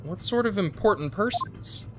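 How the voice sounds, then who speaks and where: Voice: medium pitch, animated, brisk, background noise, natural voice. A player reading the lines of a courteous young male student from a video game, formal and eager, in a slightly raised voice. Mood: polite.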